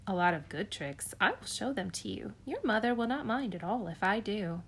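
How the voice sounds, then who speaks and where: A woman's voice: medium pitch, very animated, normal speed, vocal fry, fairly bright tone, a little hiss or hum. A woman voicing a mischievous male cat who shows off his tricks, boastful and coaxing.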